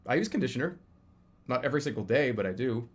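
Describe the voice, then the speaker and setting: A man's voice: medium pitch, very animated, fast, slightly rough. A man in his thirties chatting casually as a live streamer, rambling and often restarting or repeating his phrases. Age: 30s. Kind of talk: casual livestream chat commentary.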